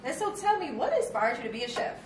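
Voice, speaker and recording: A young woman hosting a talk show, chatting with an upbeat manner and quick affirmations while she cooks in a home kitchen. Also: sits high, sing-song. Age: young adult. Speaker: host. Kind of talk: casual talk show chat while cooking. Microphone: far camera. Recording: distant, echoey, with kitchen noise.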